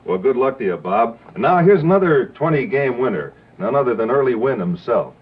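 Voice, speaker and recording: American 1950s TV pitchman, dull old recording. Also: big pitch swings, a little fast, husky. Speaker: commercial announcer and interviewer. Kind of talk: 1950s live television sponsor spot. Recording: dull muffled 1950s TV broadcast.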